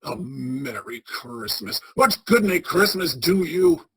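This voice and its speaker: A woman playing a bitter, miserly old male boss in a radio play, with a raspy, scornful, grumbling delivery. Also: low-pitched, animated, takes it slowly, full character voice. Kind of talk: theatrical radio play acting.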